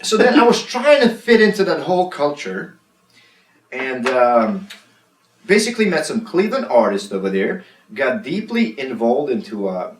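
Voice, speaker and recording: A man's voice: mid-range voice, big pitch swings. Serbian-born guy in his 30s chatting as a guest, lots of uh and um, echoey room, a bit far from mic. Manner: halting, many fillers and restarts. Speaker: guest DJ and producer. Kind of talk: casual interview chat. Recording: roomy, mic at a distance.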